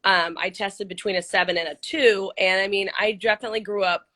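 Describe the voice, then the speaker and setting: A middle-aged woman from Central California, a little hoarse, talking casually and upbeat with lots of ums and restarts in an interview. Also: medium pitch, fairly even intonation, fairly quick, fairly bright tone. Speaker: interview guest, nonprofit CEO. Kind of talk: casual video-call interview.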